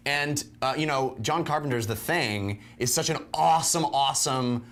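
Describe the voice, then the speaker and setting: A man's voice: mid-range voice, normal speed, fairly bright tone. A man co-hosting a film review show in casual conversation, speaking emphatically and repeating phrases for effect.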